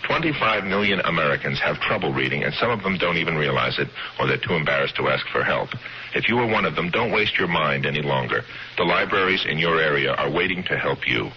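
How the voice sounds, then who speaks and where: Extremely deep-voiced male radio announcer. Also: expressive, brisk. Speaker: public service announcer. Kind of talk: scripted radio public service announcement. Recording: dull, slightly noisy vintage radio recording.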